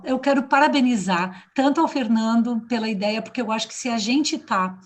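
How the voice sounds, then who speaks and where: A woman musician talking at an online meeting, hesitant, with many fillers and restarts. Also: a little high. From Flores da Cunha, southern Brazil. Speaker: musician and singing teacher. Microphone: video call.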